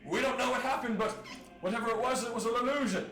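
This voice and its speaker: A man voicing a loud, alarmed town guard calling out a public announcement in a tabletop game. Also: very high-pitched, quick pace, far from the mic, voice pushed up. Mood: urgent announcing. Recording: roomy tabletop recording with music.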